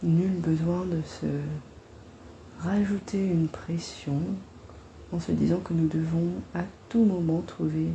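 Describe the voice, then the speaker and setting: A woman guiding a body-scan meditation in a gentle, soothing, unhurried manner, leaving long pauses between phrases. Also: lowish voice, flat delivery, creaky, a little hiss or hum, rough recording.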